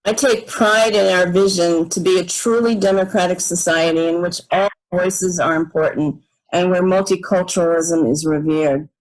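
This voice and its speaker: A woman's voice: lowish voice, steady tone, normal speed, low-quality recording. A woman giving a formal, earnest prepared advocacy statement for a social justice group.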